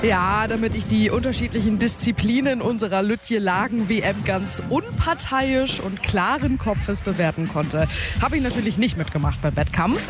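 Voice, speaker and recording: A slightly hoarse woman reporting for radio on an event she hosted, lively and questioning. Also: mid-range voice. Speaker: radio presenter and event host. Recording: music in the background.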